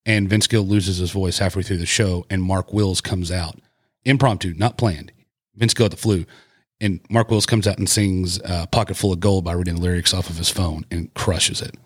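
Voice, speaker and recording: A man hosting a casual podcast, enthusiastic and quick to cut in with praise. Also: sits low, fairly even intonation, slightly rough, dry recording, clean studio sound. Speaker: podcast host.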